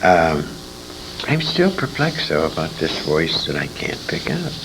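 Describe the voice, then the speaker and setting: An American man in his sixties reminiscing as an interview guest, telling anecdotes in an animated way with emphatic repetition. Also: very deep voice, unhurried. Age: 60s. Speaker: interview guest, old-time radio veteran. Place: radio convention, live interview. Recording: noisy amateur convention interview tape.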